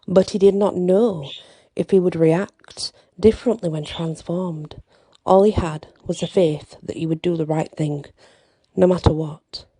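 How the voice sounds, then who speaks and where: A woman reading an ASMR bedtime fantasy story with deliberate, dramatic phrasing and short pauses, close to the mic. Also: fairly low pitch, gravelly, dark tone, dry recording.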